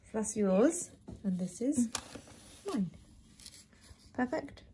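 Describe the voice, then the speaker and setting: A woman chatting casually with a young child in a gentle, coaxing, reassuring way, indoors in a home kitchen. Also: on the high side, expressive, slow pace. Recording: noisy room, handling and crinkling sounds.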